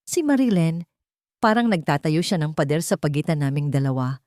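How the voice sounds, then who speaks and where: A woman's voice: deep voice, animated, brisk, darkish tone, dry recording, clean studio sound. A woman voicing a distraught, fearful older grandmother in an audio story, pleading and anxious. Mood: tearful, afraid.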